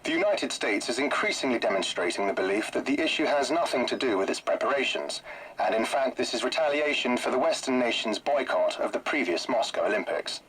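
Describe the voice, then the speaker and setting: An actor playing a formal news announcer who reads a bulletin in a steady newsreader delivery. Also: male voice, mid-range voice, a little fast, slight background noise, lightly acted, poor audio. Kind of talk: scripted news broadcast.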